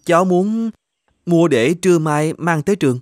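A man voicing a poor, polite young student in an animated moral tale, hesitant and deferential as he talks. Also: on the high side, normal speed, no room sound, studio quality, lightly acted. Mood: shy, polite.

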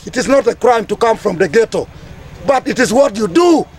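Ugandan male community leader, thirties, impassioned and declamatory, pitched extremely high. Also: lively intonation, fairly quick. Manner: emphatic, declamatory, repetitive phrasing. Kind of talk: impassioned public address to camera. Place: outdoors under trees among a gathering.

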